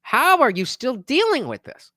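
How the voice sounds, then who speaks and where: A man hosting a live stream, reacting to a debate clip with quick, profane asides. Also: extremely high pitch, wildly swooping pitch, sharp tone, dry recording, studio quality.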